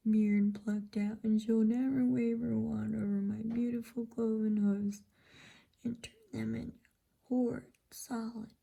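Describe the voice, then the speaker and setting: A woman voicing a timid, guilt-ridden male faun in an anxious, halting whisper for an ASMR bedtime story. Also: a little high, warm tone, voice pushed up, full character voice. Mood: tearful dread. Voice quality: whispered, breathy.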